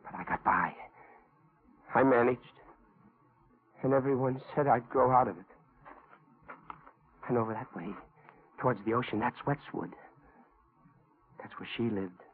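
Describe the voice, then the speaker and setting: An actor playing a calm, brooding escaped killer with quiet menace in a 1940s radio thriller. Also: male voice, mid-range voice, measured pace, long pauses, slightly rough. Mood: wistful, brooding. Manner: quietly menacing, matter-of-fact. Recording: tinny 1940s radio recording.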